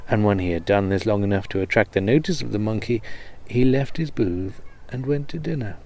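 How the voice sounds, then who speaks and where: A man with a fairly low voice serves as storyteller, reading an old comic tale aloud. His delivery is that of a narrated storybook. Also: fairly quick, creaky, darkish tone.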